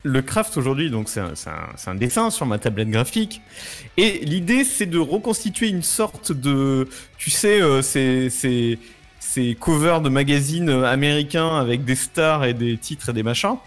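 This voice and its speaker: A man's voice: medium pitch, lively intonation, moderate pace. A man chatting casually as a co-host on a live show. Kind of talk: casual chat. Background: music.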